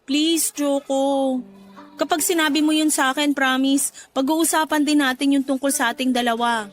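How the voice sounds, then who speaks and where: A woman's voice: high voice, fairly even intonation, moderate pace, close to an everyday voice. An actress playing a remorseful young daughter in an emotional, conversational radio drama, with music behind her. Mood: pleading, concerned. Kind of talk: Tagalog radio drama acting.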